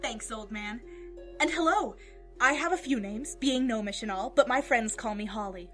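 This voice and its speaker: A woman's voice: on the high side, normal speed, bright, forceful tone. A woman playing a cheeky, chirpy little gnome performer in a fantasy audio drama. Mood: cheeky, upbeat. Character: perky, cheeky female gnome performer, small. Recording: music under the voice.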